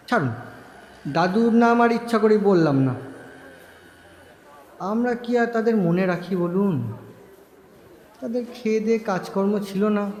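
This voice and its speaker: A high-pitched, unhinged man played by a male actor confides in the listener in a suspense audio drama. He speaks slowly and haltingly, rambling and correcting himself with dark glee, in a reverberant space over music. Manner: rambling, halting, self-interrupting, addressing listeners directly. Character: unhinged, rambling middle-class male narrator gleefully plotting murder. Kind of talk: dramatic first-person audio story monologue.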